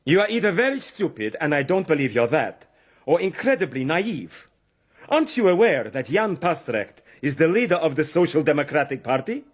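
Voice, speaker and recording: Stern, high-pitched baron, swooping and formal. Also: male voice, very animated, fairly quick. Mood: accusing. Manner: formal, condescending. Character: stern, condescending male baron, suspicious palace official. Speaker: actor in radio drama. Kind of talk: radio drama acting.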